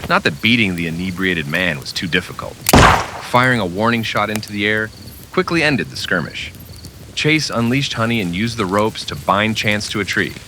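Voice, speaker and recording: A man's voice: on the low side, animated, normal speed, heavy background noise. A man narrating a scripted old-time western radio drama with dramatic storytelling delivery.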